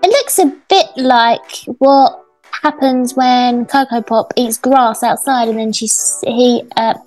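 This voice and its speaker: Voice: mid-range voice, slow, darkish tone, soft background music, lightly acted, poor audio. A performer voicing a cheeky, dramatic toddler girl who pretends to be a cat, whiny and sassy, in a game roleplay.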